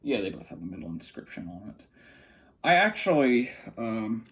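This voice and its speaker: A man with a gravelly, creaky voice giving casual unscripted commentary, muttering to himself while he works with his hands. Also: lowish voice, sing-song, moderate pace, fairly bright tone. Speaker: hobbyist vlogger showing a radio collection. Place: small indoor room at a cluttered desk.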